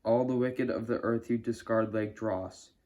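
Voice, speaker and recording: A man's voice: lowish voice, fairly even intonation, measured pace, darkish tone. A man reading verses of scripture aloud. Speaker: Bible reader.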